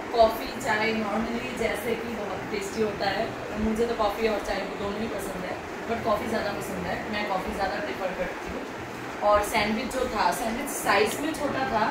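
A young woman talks into a phone held at arm's length, chatty and quick to exclaim. Her voice sits at an ordinary pitch for a woman. The phone recording is noisy, with clear background hiss and ambience. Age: young adult. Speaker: travel vlogger. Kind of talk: casual travel vlog narration. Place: hotel room, then outdoor rooftop pool. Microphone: handheld phone at arm's length.

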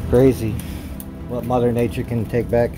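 A man exploring an abandoned barn, giving casual, relaxed commentary on an action camera. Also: mid-range voice, normal speed, over music. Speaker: explorer vlogger.

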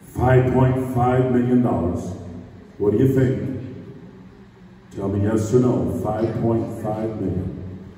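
Man, auctioneer, slow drawn-out bid calls, far off in a big reverberant hall. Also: on the low side, steady tone, warm tone, a little hiss or hum. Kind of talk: live auction calling. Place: large hall with stage. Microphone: podium mic, filmed from the audience.

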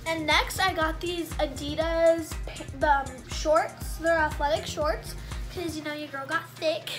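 A woman's voice: high voice, steady tone, a little slow, over music. A teenage girl with an American accent chatting informally to the camera in a bedroom, as in a clothing haul vlog. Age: teens. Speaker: video blogger. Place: small bedroom, indoors.

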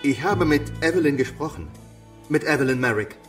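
A man's voice: on the high side, expressive, brisk, far from the mic, soft background music, natural voice. An actor playing an earnest man pleading urgently in a crime radio play.

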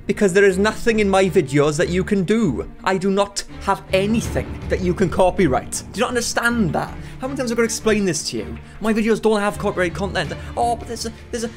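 A man's voice: high voice, fast, soft background music. A young man with a British accent, giving sarcastic, exasperated commentary in a vlog from his bedroom studio. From United Kingdom. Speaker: commentary YouTuber.

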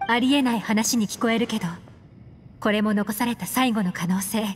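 Actress as a poised, enigmatic woman, high-toned. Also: natural voice. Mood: resolute. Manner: poised, measured, feminine speech. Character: composed, worldly, enigmatic adult woman investigator. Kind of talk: video game voice acting. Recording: long hall reverb, music behind. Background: music.

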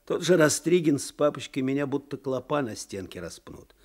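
An actor playing a weary, sardonic older provincial clerk in a radio drama, with a husky voice and folksy, wry speech. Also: male voice, medium pitch, animated, normal speed, a little mellow, natural voice. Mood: resigned, bitter. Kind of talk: Soviet radio drama acting.

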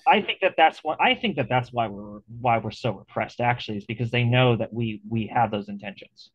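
A man's voice: medium pitch, a little fast, dry recording, muffled recording. A man hosting an interview over a video call, talking casually and animatedly, with many restarts and fillers. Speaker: interview host.

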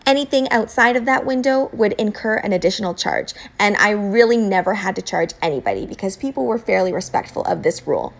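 A woman narrating scripted instructional tips as a home baker, explaining informally with clear emphasis on key points. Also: mid-range voice, expressive, a little fast, hardly any pauses.

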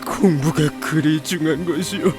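A man voicing a bitter young Joseon crown prince pleading with his father in a historical audio drama, slow and resentful. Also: on the high side, steady tone, lightly acted. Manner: slow, resentful delivery, breaking into pleading cries. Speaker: voice actor. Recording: with music underneath.

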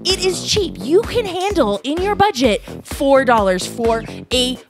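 A woman guest on a podcast, bubbly and excitable, chatting and arguing her side of a friendly debate. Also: high-pitched, measured pace, over music. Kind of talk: casual podcast chat and timed debate.

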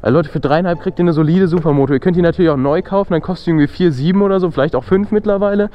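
A young man vlogging casually while riding a motorcycle, excited and slangy, talking straight to his viewers. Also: on the high side, very fast, dull audio. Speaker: motovlogger. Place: outdoors on a motorcycle, city streets and open road. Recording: wind and engine noise.

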